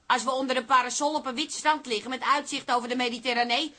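An actress playing a brisk, bossy café owner's wife in a radio comedy drama, with a no-nonsense way of talking. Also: female voice, high voice, steady tone, sharp tone. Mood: firm, exasperated. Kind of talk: naturalistic Dutch radio comedy-drama acting.

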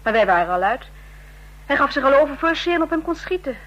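A woman's voice: high-pitched, sing-song, fast, highs cut off. A woman acting in a Dutch radio play as a polite, evasive office secretary. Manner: polite, deflecting. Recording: noisy, humming old radio recording.

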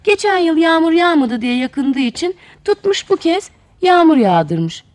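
A woman's voice: very high voice, measured pace, dry recording. A woman storyteller narrating a fairy tale expressively, speaking directly to children. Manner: animated, addresses child listeners directly.

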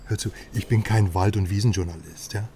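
A man's voice: lowish voice, fairly quick, vocal fry, dark tone, recorded from a distance, a little hiss or hum. A man playing a pushy, self-assured journalist in a radio crime drama, talking at length in a persuasive, self-important way. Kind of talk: naturalistic radio drama dialogue.

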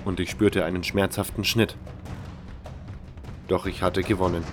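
A man reading scripted first-person narration for a fan fantasy audio drama in a measured, sober storytelling manner. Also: lowish voice.

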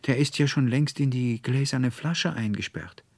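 A man's voice: medium pitch, normal speed, a little mellow, no room sound, voice pushed up, natural voice. A man voicing a sad, dreamy young woman in a storybook reading, sighing and plaintive. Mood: tearful, plaintive.

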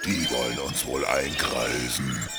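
A hulking, scornful computer virus villain in a kids' radio play. He drawls slowly and menacingly in an extremely low voice, over loud music. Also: fairly even intonation, exaggerated voice. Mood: sneering menace. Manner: slow, sneering drawl.

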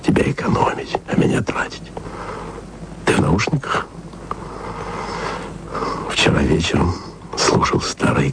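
A very deep, gravelly lovesick radio man. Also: background noise, heavily acted. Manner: tender, confiding, half-whispered. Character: aging émigré radio man, lovesick and self-doubting. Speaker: radio broadcaster leaving taped messages. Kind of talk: intimate radio play monologue.

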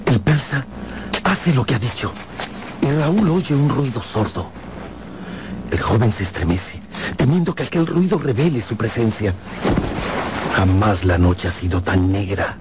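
An actor playing a dramatic storyteller, narrating a radio drama in a measured, suspenseful way. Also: male voice, medium pitch, very animated, background noise. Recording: clear music behind the voice.

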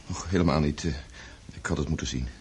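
A very deep-voiced young Russian nobleman, brooding and unhappy. He speaks with polite hesitation, as in a radio play. The recording has a noticeable layer of noise. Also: quick pace, a little mellow. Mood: polite, guarded. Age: young adult. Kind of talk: radio drama acting.

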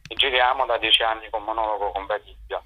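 A man's voice: on the low side, steady tone, fast talker. A middle-aged man explains things in an interview, hesitating and searching for words. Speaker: theatre director and performer. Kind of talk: informal spoken interview answers. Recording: dull lo-fi telephone-radio sound.

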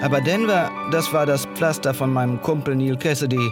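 A man's voice: mid-range voice, fairly quick, lightly acted. An actor playing a writer who recalls his life in literary, scripted narration, with music behind him. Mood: reflective. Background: loud music.

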